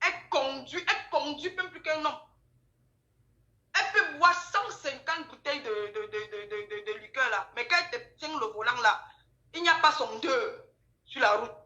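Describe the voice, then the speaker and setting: A woman telling gossip in a casual chat, animated and repeating phrases for emphasis. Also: high voice, normal speed, muffled recording. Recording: echoey room.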